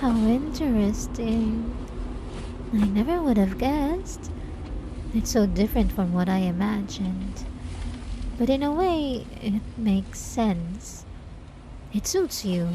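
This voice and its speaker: A woman playing a sweetly devout, possessive young church girl in a scripted ASMR roleplay, soft-spoken and halting, with sugary politeness and an unsettling edge. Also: fairly high pitch, lively intonation, noisy background. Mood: sweet, solicitous. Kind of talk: scripted ASMR roleplay monologue addressed to the listener.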